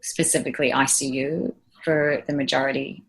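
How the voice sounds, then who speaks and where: A woman's voice: a bass voice, fairly even intonation, normal speed, breathy edge, poor audio. A woman in her thirties with a New Zealand accent, a nurse answering interview questions earnestly, with frequent fillers and restarts. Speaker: ICU nurse guest.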